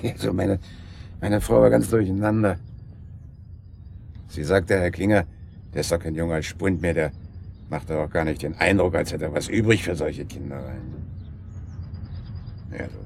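A genial local man in radio drama, chatty and politely nosy. His voice is very deep with a lot of creak, over some background noise. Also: normal speed, long pauses, a little mellow. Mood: inquisitive. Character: Herr Brohmann, genial, inquisitive married boat renter. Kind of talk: naturalistic German radio drama dialogue.

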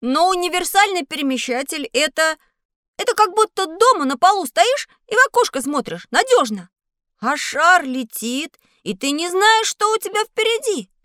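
A woman voicing an earnest, curious young schoolboy in an educational audio drama, speaking in a thoughtful, clear way. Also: very high-pitched, big pitch swings, moderate pace, a bit bright, no echo, studio quality, full character voice. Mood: eager, curious.

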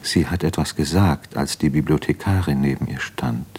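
A man's voice: very deep voice, normal speed, darkish tone, slight background noise. An actor playing a brooding, ailing man who searches himself in an introspective diary monologue for a radio play. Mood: hushed longing.

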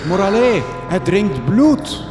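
Animated, naive Flemish reporter. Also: male voice, sits high, slow, heavily acted. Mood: baffled, indignant. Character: naive, indignant young male reporter hero. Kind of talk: comic radio drama acting. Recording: echoing hall, music underneath.

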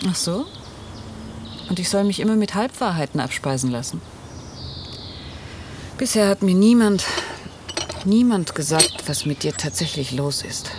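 An actress playing an exasperated, sharp-tongued woman in a German radio drama, curt and sarcastic. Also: fairly low pitch, animated, a little slow, long pauses, noisy background.

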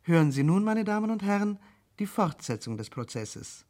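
A performer as a radio play announcer recapping a trial. The voice sits at medium pitch, formal and measured in scripted narration. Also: big pitch swings, darkish tone, close to an everyday voice. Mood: formal, factual.

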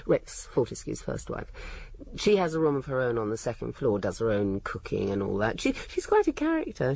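A cool, scornful housekeeper in a radio play. Her educated English voice is mellow and husky, with a creaky edge and lively swoops. She is candid and dryly cutting. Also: very deep voice, exaggerated voice. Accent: English, educated upper-middle-class. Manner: poised, dry, candid, cutting. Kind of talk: radio drama dialogue.

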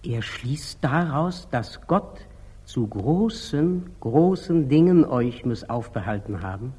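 An artless, obedient lay brother in a 1940s radio drama. His mid-pitched voice is husky. He speaks very slowly, with humble hesitation. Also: lively intonation, darkish tone. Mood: cautious. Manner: hesitant, meek, deliberate. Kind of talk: 1940s German radio stage acting.

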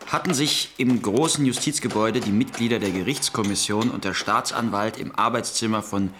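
An actor playing a performer who reads literary prose aloud at a rehearsal. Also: male voice, fairly low pitch, a little fast, fairly bright tone, a little hiss or hum, natural voice. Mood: measured. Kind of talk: read-aloud of a literary text.

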